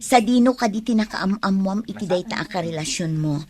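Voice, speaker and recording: A woman's voice: mid-range voice, fairly quick, warm tone, natural voice. A woman with an Ilocano accent acting a frank, doubting co-worker in a radio drama. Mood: probing, skeptical.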